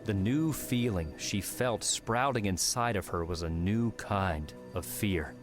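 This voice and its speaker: A man's voice: on the low side, a little slow, over music. A man narrating an audiobook, reading a scripted adventure story in a dramatic storytelling style.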